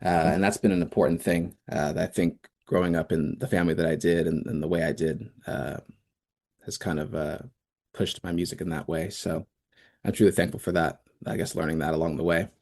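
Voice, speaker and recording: A man raised in the American South, a musician guest in a radio studio interview, speaking thoughtfully and pausing often to search for words. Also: low-pitched, steady tone, a little mellow.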